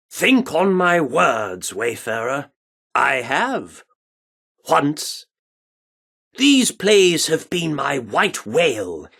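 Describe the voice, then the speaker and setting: An actor playing a pompous, excitable British theatre director in a video game, speaking in grandiose, florid Shakespearean style. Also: male voice, a little high, vocal fry, breathy edge, exaggerated voice.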